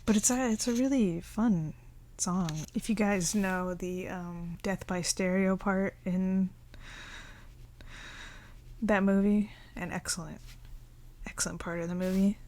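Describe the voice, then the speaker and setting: Slow, soft-spoken woman doing ASMR. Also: mid-range voice. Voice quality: soft, often whispery voice. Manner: relaxed, unhurried, chatty. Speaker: ASMR video creator showing a collection. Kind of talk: ASMR, slow casual show-and-tell.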